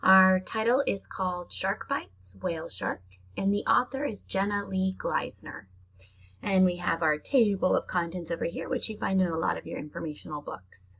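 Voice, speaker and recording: A woman's voice: mid-range voice, moderate pace, creaky, a bit bright, slightly rough, rough recording. A woman teacher reading aloud to young children, explaining things simply in chatty asides between carefully read sentences. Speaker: elementary teacher reading to pupils.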